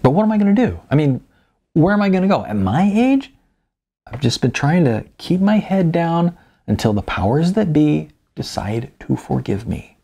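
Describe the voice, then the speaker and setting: A man voicing a weary, self-deprecating writer of almost fifty, halting and sardonic, in an audiobook. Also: a little high, big pitch swings, creaky, mellow, slightly rough, voice pushed up, full character voice. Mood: resigned, bitter.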